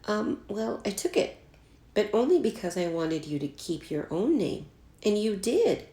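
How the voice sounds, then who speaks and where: A woman's voice: medium pitch, very animated, a little slow, dark tone, pitched above the performer's own voice. A woman voicing a friendly, curious and slightly sheepish young schoolboy in a storybook reading. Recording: roomy, echoey home recording.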